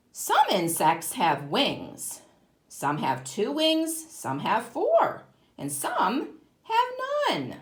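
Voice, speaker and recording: A woman teaching young children in a read-aloud lesson, explaining simply and deliberately, with emphasis and questions to the listener. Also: medium pitch, fairly bright tone. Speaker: kindergarten teacher.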